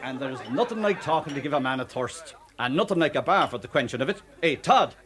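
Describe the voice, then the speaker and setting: An actor with an Irish accent playing a genial, talkative, canny police superintendent in a radio drama. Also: male voice, medium pitch, animated, fast, a crowd in the background, natural voice. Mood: genial, storytelling. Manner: folksy, cajoling, garrulous.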